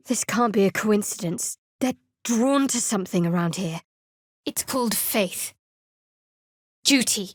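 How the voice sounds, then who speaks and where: An actress playing a guarded, devout young half-elf cleric woman in a video game, measured and dryly sardonic. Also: a little high, no echo, studio quality, close to an everyday voice. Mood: resolute. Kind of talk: video game character voice acting.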